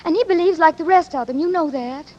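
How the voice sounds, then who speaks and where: A woman's voice: very high-pitched, fast, darkish tone. An actress playing an anxious, doting former nurse in a 1940s radio drama, cooing endearments to her man. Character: possessive tender woman, Ruth, ex-nurse. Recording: dull old radio recording with faint hiss.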